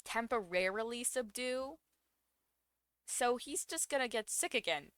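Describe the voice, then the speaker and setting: Bright-voiced woman reacting playfully. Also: a little high, animated, unhurried, clear, resonant tone, no room sound. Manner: playful, sarcastic, questioning. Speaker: streamer reacting to a game story. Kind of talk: casual reaction commentary.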